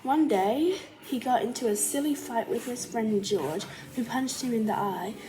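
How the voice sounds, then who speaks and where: A woman reading a children's biography aloud like a storybook, carefully and a little haltingly. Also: on the high side, noisy background. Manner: careful, halting reading with stumbles and self-corrections. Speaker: storybook reader.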